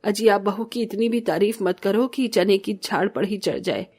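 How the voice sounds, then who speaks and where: A woman's voice: medium pitch, moderate pace, a little mellow, slightly rough. A woman playing a bossy middle-aged mother-in-law in a cartoon family drama, with a commanding, drawling manner.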